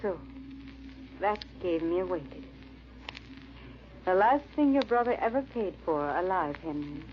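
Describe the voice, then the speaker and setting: An actress playing a cold, proud European countess and murderess in a 1940s-style radio drama. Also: female voice, on the low side, expressive, a little slow. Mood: cold, resigned. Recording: muffled, noisy old-time radio recording.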